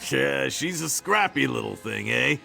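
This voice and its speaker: A gravelly, wry orc mercenary. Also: male voice, medium pitch, lively intonation, a little slow, sharp tone, faint music behind, heavily acted. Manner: rough, wry and offhand. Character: gruff male orc mercenary, blunt, joking. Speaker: game character. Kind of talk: video game voice acting.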